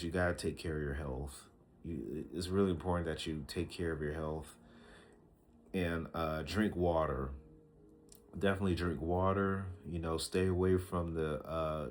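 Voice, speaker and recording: A man in his early thirties with a very deep voice talks straight to a camera. He speaks slowly and reflectively, with many fillers and restarts. A faint hiss of noise sits under the recording. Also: fairly even intonation. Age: 30s. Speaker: vlogger. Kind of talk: casual talking-to-camera advice.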